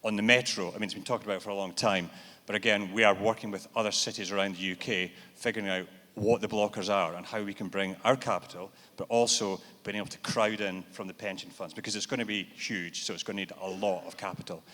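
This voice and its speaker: Low bright-voiced guy talking business on a panel, long hall echo. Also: steady tone, fast talker. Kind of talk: conference panel answer. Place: large event hall. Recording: reverberant hall.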